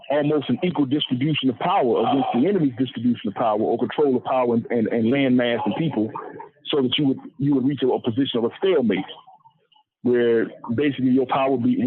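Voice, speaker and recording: Muffled, husky man giving a political lecture. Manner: didactic, repeats and lists phrases for emphasis. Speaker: political speaker. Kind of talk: explanatory political lecture, speaking off the cuff. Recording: muffled low-fidelity radio-like audio.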